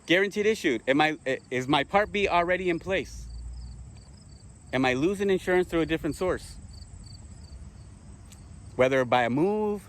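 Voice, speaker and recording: Man drilling terms, slightly high voice. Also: expressive, normal speed, long pauses. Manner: repeats himself, self-corrects, rhetorical questions; drills terms with check-ins like okay. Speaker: insurance agent teaching other agents. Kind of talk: casual spoken lesson.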